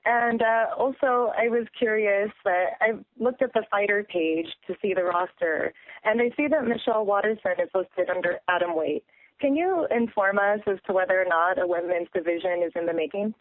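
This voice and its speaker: A woman's voice: medium pitch, moderate pace. A female reporter asking questions on a press call over a phone, polite and somewhat formal. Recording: dry, narrow phone line.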